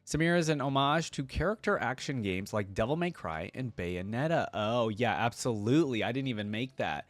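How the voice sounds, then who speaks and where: A man's voice: mid-range voice, lively intonation, brisk, no room sound. A man hosting a reaction video, giving casual, enthusiastic commentary on game voice lines. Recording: music behind the voice.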